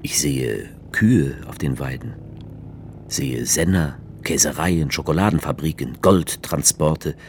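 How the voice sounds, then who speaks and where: A very low-voiced male narrator in a radio play, describing what he sees one image after another. Faint noise runs under his voice. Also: slow pace, heavily acted. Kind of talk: scripted first-person narration.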